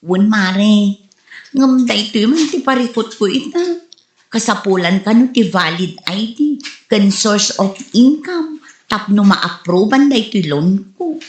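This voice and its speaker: A woman's voice: medium pitch, lively intonation, takes it slowly, reverberant. A woman with an Ilocano Filipino accent playing a defensive, hardworking vendor in a comedy drama, protesting in exasperation. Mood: earnest. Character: gossiped-about woman insisting she has changed, defensive, hardworking vendor. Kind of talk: comedy radio drama acting.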